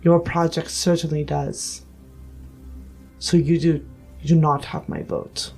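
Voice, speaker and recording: A player voicing a thoughtful, principled and cautious figure in a tabletop role-play, with a measured, formal, halting delivery. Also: mid-range voice, little pitch movement, vocal fry, darkish tone, soft background music. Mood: polite, wary. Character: thoughtful, principled, cautious voter facing a politician.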